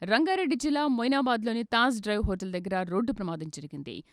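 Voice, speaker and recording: A woman's voice: a little high, fast talker, faint music behind. A woman reads a scripted news bulletin as a news reader in a formal manner.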